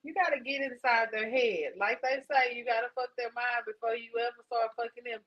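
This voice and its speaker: A woman co-hosting a casual podcast, speaking frankly with slow, drawn-out emphasis. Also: fairly high pitch, fairly even intonation, muffled recording. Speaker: podcast co-host.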